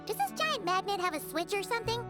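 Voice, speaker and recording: A woman voicing a tiny, chatty, excitable fairy-like companion in a video game, bubbly and talking about herself in the third person. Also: very high voice, quick pace, over music. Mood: eager.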